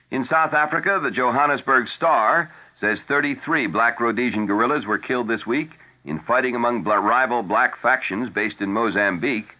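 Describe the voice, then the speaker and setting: A narrow, tinny vintage AM radio broadcast carries an American newsman with a fairly low voice. He reads scripted bulletins like a 1970s anchor, formal and measured throughout. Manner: formal, measured announcer delivery.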